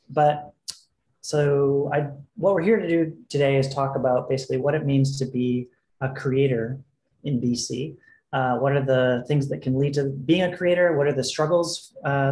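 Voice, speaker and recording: Panel moderator, male, loose and chatty, roomy video-call audio with faint street noise behind. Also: mid-range voice. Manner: relaxed, filler-heavy. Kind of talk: online panel discussion. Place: home room, video call.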